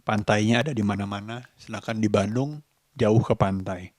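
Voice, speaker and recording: A man from Indonesia talks casually to his vlog, thinking aloud in a reflective, halting way as he searches for words. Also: fairly low pitch, a little mellow, no room sound, clean studio sound. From Sukabumi, West Java, Indonesia. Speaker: vlogger, host of his own daily vlog.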